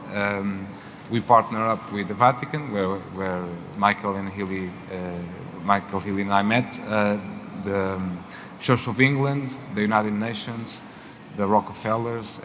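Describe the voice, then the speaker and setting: A man from Portugal with a Portuguese accent, moderating a fireside chat on stage and speaking haltingly with many fillers. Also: on the low side. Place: event hall. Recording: reverberant hall with faint noise.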